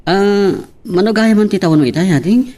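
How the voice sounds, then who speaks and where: A man's voice: sits high, fairly quick, lightly acted. A man with an Ilocano accent playing a teasing work partner out drinking in an audio drama. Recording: faint noise with clinking tableware.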